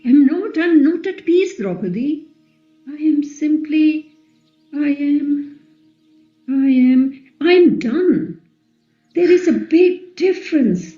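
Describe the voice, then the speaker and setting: Female actor as a serene, quietly defiant epic queen; very soft-toned, slow, echoey. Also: sits high, expressive. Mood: weary defiance. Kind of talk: dramatic play reading. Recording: echoey, slightly dull, music underneath.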